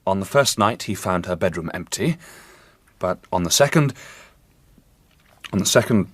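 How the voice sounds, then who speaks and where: An actor playing a brilliant, self-assured young detective reporter in a period radio drama, explaining things deliberately with dry wit. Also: male voice, deep voice, animated, slightly rough, no room sound. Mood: sombre.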